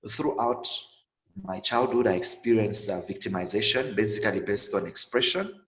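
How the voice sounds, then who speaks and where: A young man in his twenties, from sub-Saharan Africa, tells his own story on an online panel. His voice sits very low, and he talks haltingly with many repeats and fillers. His call comes from an echoey room. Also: a bit bright. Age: 20s. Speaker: panel guest.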